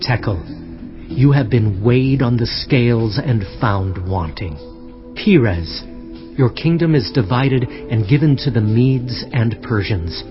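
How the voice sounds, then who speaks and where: An actor playing a solemn prophet rebuking a proud king, speaking gravely and deliberately in a dramatized scripture reading. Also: mid-range voice, expressive. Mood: grave pronouncement. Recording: muffled sound with music underneath.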